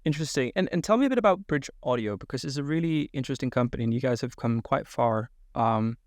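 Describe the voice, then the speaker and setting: A man's voice: medium pitch, expressive, quick pace, no room sound, clean studio sound. A man hosting a podcast interview, upbeat and enthusiastic as he introduces and questions his guest. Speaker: podcast host.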